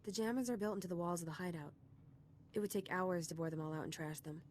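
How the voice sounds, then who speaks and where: A woman plays a confident female soldier from a video game. She sounds wry and upbeat with a flirty edge, in a slightly low voice. Faint ambient noise sits behind her. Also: fast talker, darkish tone, natural voice. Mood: brisk, friendly.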